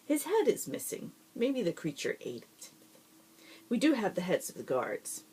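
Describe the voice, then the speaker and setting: A narrator voicing a cold, morbidly pleased female scientist boss in a storybook reading, brisk and matter-of-fact with relish. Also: mid-range voice, huge pitch swings, a little mellow, husky, voice pushed up.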